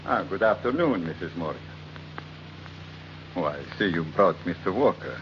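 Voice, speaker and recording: Very deep-voiced, suave blackmailer. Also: male voice, very animated, moderate pace. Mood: smugly threatening. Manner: polite, smooth, mockingly formal. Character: suave, menacing male blackmailer living lavishly. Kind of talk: old-time radio drama acting. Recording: muffled, noisy old radio recording.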